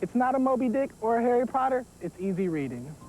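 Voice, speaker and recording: A woman's voice: fairly high pitch, very animated, quick pace, mellow, low-quality recording. A woman giving a scripted book review as a presenter on a youth TV show. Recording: faint background noise.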